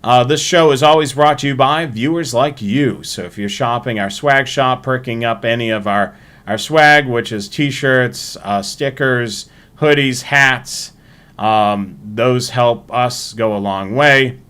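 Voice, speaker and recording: A man hosting a livestream, talking informally through announcements in a halting way and repeating himself. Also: mid-range voice, fairly bright tone, clean tone. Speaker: livestream host. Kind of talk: casual livestream sign-off and announcements.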